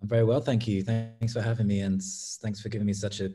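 An English man in his thirties, a guest artist, talking casually over a video call from his home studio, thoughtful, with many pauses and restarts. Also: on the low side, level tone, music in the background. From England. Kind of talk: casual interview chat. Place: home studio room, indoors. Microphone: laptop webcam video call.

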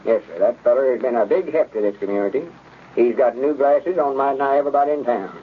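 An actor playing a know-it-all, easily exasperated old storekeeper with a rural Southern accent in a 1930s radio comedy. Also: male voice, mid-range voice, quick pace, close to an everyday voice. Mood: folksy. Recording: dull, noisy old radio recording.

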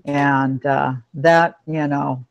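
A woman's voice: deep voice, fairly even intonation, very slow, vocal fry, no echo, highs cut off. A woman teaching an informal online class about pets, talking in a reassuring, instructive way to the group. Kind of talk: casual group video-call class.

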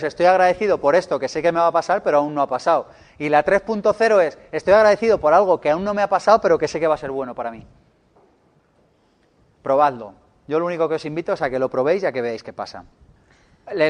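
A man with a Spanish accent from Spain, giving a live seminar to an audience in a playful, joking, chatty way. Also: high voice. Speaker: seminar speaker.

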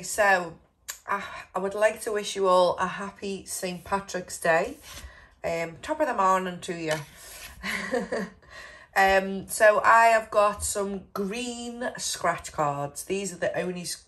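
A woman's voice: medium pitch, takes it slowly, fairly bright tone. A woman with a head cold, chatting casually as she scratches lottery cards and reads out numbers and letters. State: congested with a cold. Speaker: scratch card vlogger. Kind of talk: casual narration over scratch card video.

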